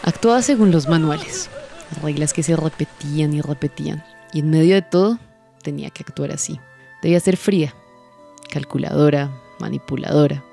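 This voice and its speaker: A woman's voice: low voice, gravelly, warm tone. A woman playing a cold, calculating, vengeful businesswoman confessing in an audio drama. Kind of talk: scripted dramatic monologue.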